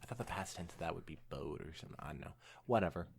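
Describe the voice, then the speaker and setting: A young man hosting a podcast, reading fan fiction aloud in an animated way mixed with casual chat. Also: deep voice, rapid-fire, creaky, slight background noise.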